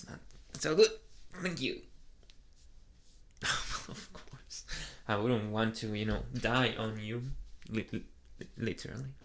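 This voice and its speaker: A man voicing a shy, flustered young vampire boyfriend in a soft ASMR roleplay, hesitant and stammering with nervous energy. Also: medium pitch. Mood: nervous, grateful. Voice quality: soft, breathy at times.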